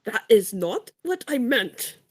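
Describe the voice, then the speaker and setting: Haughty schoolboy wizard voiced by a woman, slightly high pitch, slow drawl, disdainful, for audio drama. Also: big pitch swings, slightly rough, exaggerated voice. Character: haughty, snobbish, reluctant teenage wizard boy. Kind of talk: fan audio drama acting.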